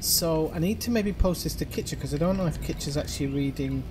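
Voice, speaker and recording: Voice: mid-range voice, a little fast, over music. A livestream host chatting casually with friends while playing, apologetic and thinking aloud with fillers. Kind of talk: casual gaming chat with friends.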